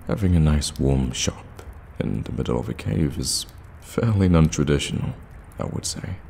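A man playing a sly, courteous warlock shopkeeper in an ASMR fantasy roleplay, addressing the listener with teasing, soft-spoken flattery. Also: extremely low pitch, normal speed, creaky, exaggerated voice. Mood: suave, welcoming. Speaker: voice actor in an audio roleplay. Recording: cavernous reverb, ambient background noise.

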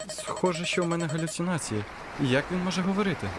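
A man's voice: a little high, quick pace, background noise, voice pushed up, natural voice. A man voicing a wary male hunter who tracks a killer wolf and talks to himself in a hesitant way. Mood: uneasy. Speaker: voice actor in a fan dub. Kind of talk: dubbed analog horror narration.

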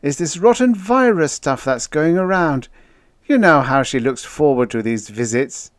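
A man's voice: a little high, expressive, a little slow, dry recording, studio quality, voice pushed up. A man voicing a grave, judicious space official with a formal, placating manner in a story.

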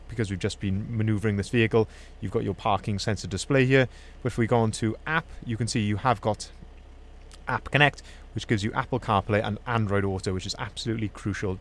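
A young man, a van sales manager, doing an upbeat walkaround review, recorded on a handheld phone outdoors at a dealership lot. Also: medium pitch. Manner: upbeat salesman pointing out features and flaws. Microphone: handheld phone camera, filming first-person.

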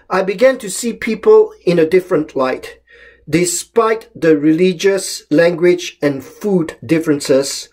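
Calm man from Malaysia giving a sermon. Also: on the high side. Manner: calm, measured reading of scripture with emphatic openings. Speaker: pastor. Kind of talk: short devotional sermon. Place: small room at home, indoors. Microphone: webcam or laptop camera close up.

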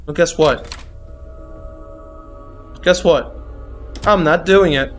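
Slow-paced, higher-pitched man playing horror games. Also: faint music behind. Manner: jumpy, exclaiming, swearing, repeating himself. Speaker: gaming video creator. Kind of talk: horror game playthrough commentary, reacting aloud.

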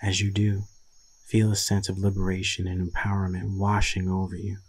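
A man leading a guided meditation in a soothing, deliberate manner with long pauses and a husky voice. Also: low voice, completely flat delivery, slight background noise.

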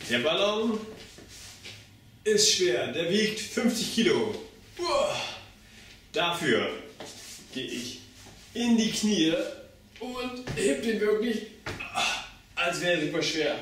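Young adult man, slow-paced instructor, slightly high voice, step-by-step demonstration, very reverberant room. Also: very animated, a bit bright, slight background noise. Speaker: circus pantomime instructor. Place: bare indoor room with hard walls. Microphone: camera mic across the room. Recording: very echoey room, far from mic.

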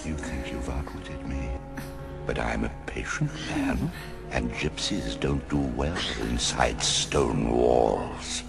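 A man plays a cartoon villain, an older minister with a very deep voice. He talks slowly and pompously, with cold menace and a gravelly edge, over loud music. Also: very animated, exaggerated voice. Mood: menacing. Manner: slow, sinister, pompous. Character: menacing, sanctimonious older male minister, coldly patient. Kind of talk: amateur cartoon fandub.

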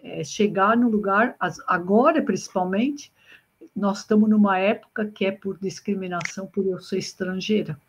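A woman's voice: mid-range voice, normal speed, breathy edge. A middle-aged woman from Brazil, an engineering professor guesting on a video-call interview, talking reflectively with frequent restarts and repeats. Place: home room with bookshelves. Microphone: webcam on video call. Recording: muffled video-call audio.

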